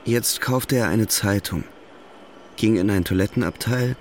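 A man's voice: fairly low pitch, a little slow, slight background noise. A man reading scripted literary narration as the narrator of an audio drama.

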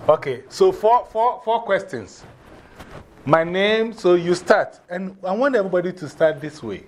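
A man's voice: sits high, animated, a little slow, a little hiss or hum. A man with a Ghanaian accent giving informal classroom instruction, directing people and repeating his instructions. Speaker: teacher of a drumming and English class.

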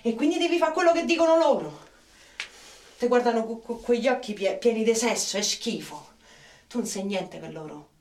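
Embittered young Roman streetwalker, halting and colloquial, higher voice, radio drama in a roomy space. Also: female voice, lively intonation, darkish tone. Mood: afraid, bitter. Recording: roomy, distant, noisy microphone.

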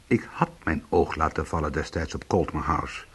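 A man's voice: rumbling low, normal speed, husky, heavily acted. A man playing a bitter, sardonic small-time ex-convict in a Dutch radio play, protective and combative. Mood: bitter, wry. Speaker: lead actor and first-person narrator.

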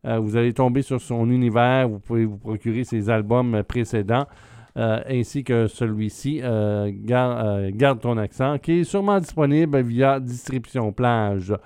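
A male radio host with a smooth, resonant voice, recorded dry and close. He presents an album in an easygoing, conversational way. He often pauses on hesitations mid-sentence. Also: medium pitch, mellow, no echo.

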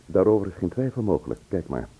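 A man acting in a Dutch radio play as a composed, probing gentleman investigator who speaks with measured care. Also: low voice, big pitch swings, breathy edge, exaggerated voice. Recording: muffled narrow-band old radio recording.

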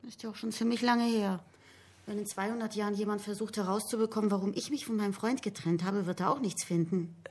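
An actress playing a sceptical young police colleague in a radio drama, slightly husky and dismissive. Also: female voice, mid-range voice, quick pace, darkish tone, lightly acted. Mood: sceptical, flippant. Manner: flippant, dismissive.

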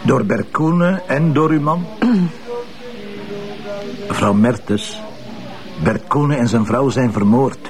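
An actor as a probing Flemish police commissioner, music behind. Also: male voice, mid-range voice, takes it slowly, pauses often, lightly acted. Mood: insistent. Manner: hesitant openings, throat-clearing, probing. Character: persistent, blunt middle-aged male police commissioner questioning. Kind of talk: television crime drama acting.

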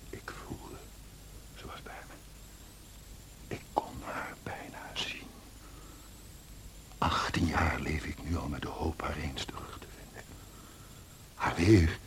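An actor playing a brooding, obsessive middle-aged landlord in a radio drama, harsh and haunted, on a hissy old recording. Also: male voice, very deep voice, slow pace, long pauses, in a whisper, heavily acted. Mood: haunted, intense.

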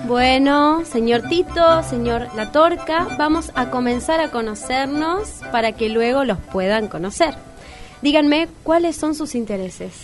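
An actress as a brisk female agency executive in a comic radio play. Her voice is fairly high, with a Rioplatense Argentine accent. She sounds formal, curt and salesy, keeping clients in order. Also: animated, music in the background. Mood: curt, orderly. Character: brisk, curt, businesslike woman running a matchmaking agency.

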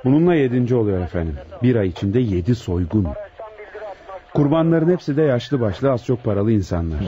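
A man's voice: mid-range voice, lively intonation, brisk, warm tone, natural voice. An actor playing an earnest, frustrated police detective deferring to his superior in a radio drama. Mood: earnest, reporting. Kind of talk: radio play acting. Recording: muffled old radio drama recording.

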